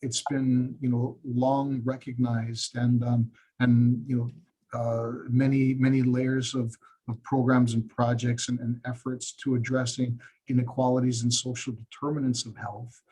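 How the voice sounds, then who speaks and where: A man's voice: medium pitch, flat delivery, measured pace, dark tone, breathy edge, rough recording. A man from Hawaii, a radiation oncologist in his forties, talking in a webinar discussion with many fillers and restarts.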